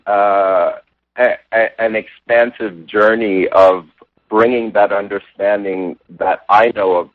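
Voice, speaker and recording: Low-voiced man on a tinny line. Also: no echo. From Jamaica. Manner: thoughtful, many restarts and "you know" fillers. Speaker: interview guest, religious science practitioner. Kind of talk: reflective personal storytelling. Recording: tinny phone-like line, dry.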